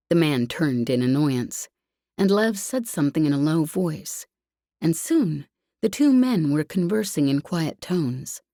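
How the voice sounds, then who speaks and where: A woman's voice: deep voice, dark tone, no room sound. A woman reading scripted fiction as an audiobook narrator, recorded close. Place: dry, close recording space.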